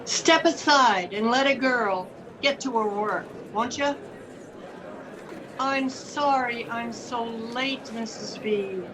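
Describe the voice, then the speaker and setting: A cheeky box office girl, old radio play, slightly high voice, slow talking, noisy crowd. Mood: breezy, cheeky. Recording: crowd noise behind.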